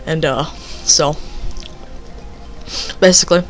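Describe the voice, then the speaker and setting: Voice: medium pitch, takes it slowly, soft background music. A young person telling a casual, rambling story, leaning on filler phrases. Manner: rambling, repeats filler phrases like "basically" and "you know".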